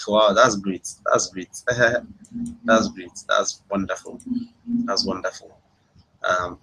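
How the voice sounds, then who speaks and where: Man, Nigerian accent, fairly deep pitch, rough husky tone, informal webinar host, repetitive phrasing. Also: a bit bright, poor audio. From Imo State, Nigeria. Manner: repeats phrases over and over. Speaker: webinar host, internet marketing coach. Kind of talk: casual live chat with online audience, reading out answers.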